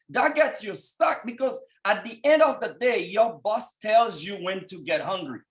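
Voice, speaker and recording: Fervent Haitian-accented man in his thirties. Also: high voice. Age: 30s. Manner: fervent, rising to shouts at peaks. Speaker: motivational speaker on a call. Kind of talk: motivational speech.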